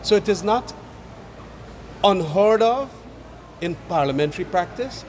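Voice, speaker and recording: A man, an opposition politician, speaking deliberately to a reporter with some halting restarts. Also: sits high, lively intonation, a little mellow, a little hiss or hum. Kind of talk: interview remarks.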